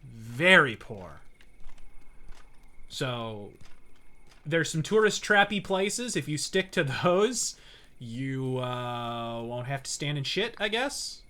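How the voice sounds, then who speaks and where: Guy streaming a game, swears freely, bright sharp-edged voice, speaks his mind. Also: mid-range voice, big pitch swings, takes it slowly. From United States. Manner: blunt, profane, opinionated. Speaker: streamer host playing a game. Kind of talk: casual live-stream chat.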